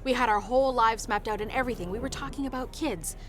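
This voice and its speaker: A woman's voice: a little high, fast, crowd noise, natural voice. A woman playing a feisty, frank passenger telling her stories in a salty, colloquial way in a radio play. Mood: frank, confiding. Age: 30s or older. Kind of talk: radio play, conversational storytelling.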